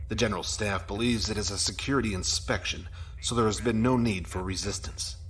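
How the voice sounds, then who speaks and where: A dutiful military aide played by a man in a science fiction audio drama. He reports formally and deferentially in a lowish voice, with slight ambience behind. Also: brisk, fairly bright tone, slight background noise, close to an everyday voice.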